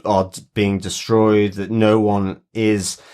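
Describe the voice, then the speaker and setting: A man co-hosting a podcast in a casual discussion of TV, speaking in a halting but emphatic way. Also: lowish voice, flat delivery, darkish tone, clear, resonant tone. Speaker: podcast co-host.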